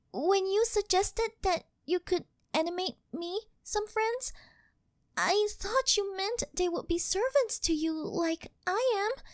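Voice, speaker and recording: A woman's voice: very high voice, no room sound, clean studio sound. A woman voicing a possessive, worshipful living doll maid in an intimate ASMR roleplay, speaking in a formal, fawning, melodramatic way. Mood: apologetic, self-abasing. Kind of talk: scripted ASMR roleplay, intimate monologue to the listener.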